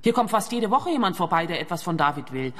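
A woman's voice: on the low side, sing-song, fast talker. An actress playing a curt, wary young woman in a radio play. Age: young adult. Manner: curt, guarded. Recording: long echo of a stairwell.

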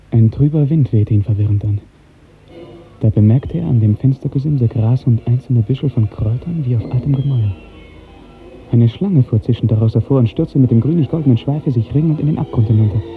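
A man narrating a literary 1950s German radio drama in a dramatic, declamatory style with measured diction. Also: mid-range voice. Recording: 1950s radio recording, thin narrow band, music.

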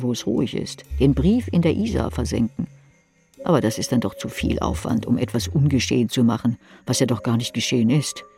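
Casting: male literary narrator, dark gravelly tone, terse second-person delivery. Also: mid-range voice.

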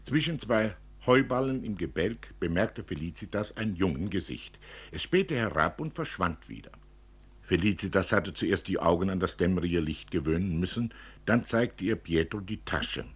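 A man reading a literary story as narrator, expressively, with dramatic and deliberate phrasing. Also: low voice, lively intonation, slightly rough. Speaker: narrator, reader.

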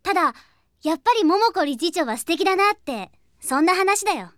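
A woman's voice: extremely high pitch, lively intonation, brisk, fairly bright tone, dry recording, clean studio sound. A woman playing a cheerful, innocent, friendly young girl in an anime audio drama.

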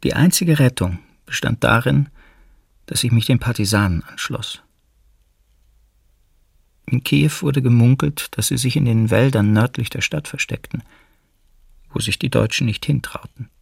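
A man's voice: mid-range voice, a little slow, creaky, long pauses, slightly rough, no room sound, studio quality, full character voice. An actor playing a wary man recalling life in hiding from wartime occupiers, in a sober, reflective radio drama reading. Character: wary male fugitive recalling wartime resistance plans.

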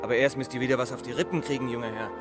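A man's voice: mid-range voice, fairly even intonation, very fast, natural voice. An actor playing a kindly, deferential coachman in a radio drama. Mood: kindly, pleased. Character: devoted old-fashioned sleigh driver, kindly, respectful servant. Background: music.

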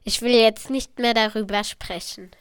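A woman's voice: fairly high pitch, steady tone, normal speed, a bit bright, smooth, no echo, clean studio sound. A female performer playing an evasive mother in an amateur school radio play. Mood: hesitant, evasive. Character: evasive mother breaking divorce news to her children.

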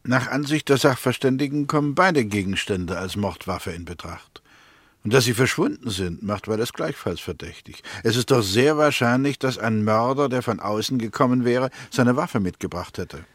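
An actor playing a confident, suspicious prosecutor in a 1960s German radio play, laying out his case deliberately and insistently. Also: mid-range voice, animated, no echo, studio quality, close to an everyday voice. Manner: deliberate, insistent, narrates theories step by step.

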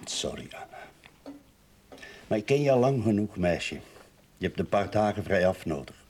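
A concerned, paternal man from a radio play, voiced by an actor. His voice is fairly low, kindly but firm, with faint noise and clicks on the recording. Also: animated, moderate pace, darkish tone, natural voice. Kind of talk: naturalistic radio drama dialogue.

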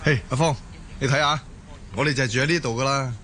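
A man's voice: mid-range voice, steady tone, fast talker, bright, forceful tone, a little hiss or hum, close to an everyday voice. A man acting a patient, reassuring working-class Hong Kong husband in a Cantonese radio drama. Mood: upbeat, persuading. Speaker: voice actor in an audio drama.

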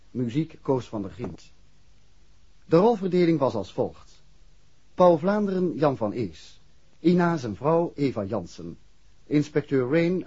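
A man's voice: fairly high pitch, a little mellow, husky. A man with a Dutch accent announcing scripted radio drama credits in an old 1960s broadcast style. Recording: muffled 1960s radio recording.

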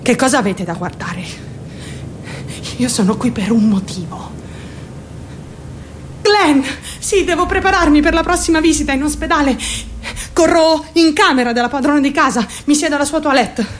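A woman's voice: high-pitched, normal speed, pauses often, slight background noise, natural voice. An actress playing a troubled, obsessive and fearful young woman in a radio drama monologue, intense and questioning herself as she confides in onlookers. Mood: defiant, then eager. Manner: intense, self-questioning, shifting suddenly in tone.

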